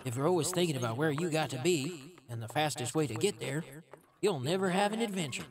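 A man's voice: a little high, brisk, crowd noise, natural voice. A man doing a goofy, carefree, swooping cartoon goofball. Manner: chummy, excitable, easygoing. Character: carefree, goofy, lanky male cartoon creature. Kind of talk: comic book dub acting.

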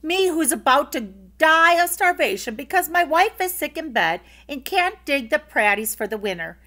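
A lazy, self-pitying peasant husband moans about his troubles in a high, whining storybook voice, put on by a woman. His lilts, and his pitch leaps about wildly. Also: big pitch swings, moderate pace, bright, forceful tone, full character voice. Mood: eager. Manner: whiny, wailing.

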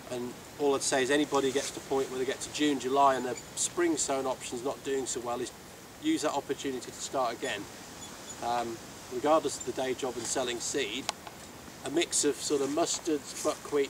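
A middle-aged British man giving an informal, matter-of-fact explanatory talk out in an open field. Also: mid-range voice. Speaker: seed seller giving a farm walk talk. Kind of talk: informal outdoor demonstration talk. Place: outdoors in an open farm field. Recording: distant mic outdoors, clear ambient noise.

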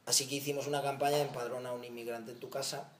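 A man in his thirties with a Spanish accent, recounting in a documentary interview how he started helping migrants, thoughtful and halting with restarts. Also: mid-range voice, level tone, roomy sound, a little hiss or hum. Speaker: volunteer founder. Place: outdoor patio of a house.

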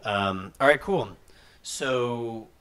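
A man hosting a relaxed interview in a living room, talking loosely with many fillers into a handheld mic. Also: mid-range voice, very slow. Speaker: host. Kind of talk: casual interview chat.

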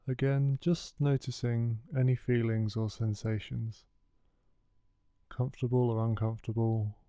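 A man leading a guided meditation, giving soothing, unhurried instructions with gentle pauses. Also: medium pitch, clean tone, no room sound, clean studio sound. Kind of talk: guided body scan meditation.

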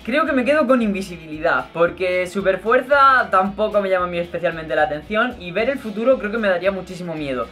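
A young man with a light Murcian accent chats playfully and slightly high-pitched, answering questions. He speaks close to the camera in his bedroom, with faint music underneath. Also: fairly bright tone. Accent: Murcian, mostly held back on camera. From Murcia, Spain. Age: young adult. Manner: chatty, playful, gestures a lot, laughs easily. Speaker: YouTuber. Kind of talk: casual Q&A vlog. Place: bedroom, indoors.

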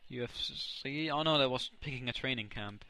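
A young man from the Netherlands with a Dutch accent, casually commentating his own video game match, excitable and often correcting himself. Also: medium pitch, moderate pace. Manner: excitable, self-correcting, reacts loudly to plays. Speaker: gaming commentator. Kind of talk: casual let's play commentary.

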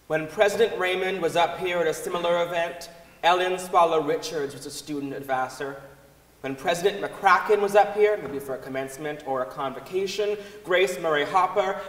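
A man reading a scripted speech dramatically on the stage of a large auditorium. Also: a little high. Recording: echoey hall, distant microphone.

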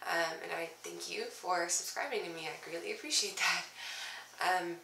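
A woman's voice: lowish voice, moderate pace, vocal fry, sharp tone, slight background noise. A young woman vlogging casually at home, sounding nasal from a cold, chatty and apologetic, with lots of "um"s and little laughs. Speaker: craft vlogger. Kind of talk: casual chat to camera. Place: small room indoors at home. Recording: roomy, slightly distant camera audio.

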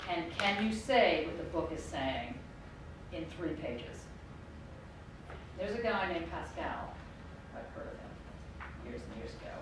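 Wry professor in an echoey lecture room. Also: female voice, lowish voice. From United States. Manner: conversational, wry asides, circling back to points. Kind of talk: university lecture. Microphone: room mic far from speaker. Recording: echoey room, distant mic, background noise.

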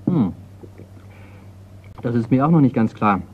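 Cool detective on tinny radio. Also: male voice, mid-range voice, expressive, a little slow, breathy edge. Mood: dry, relaxed. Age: young adult. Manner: dry, unflustered. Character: cool, cheeky young insurance detective. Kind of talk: German radio crime drama acting. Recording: tinny, narrow-band old radio recording, noisy.

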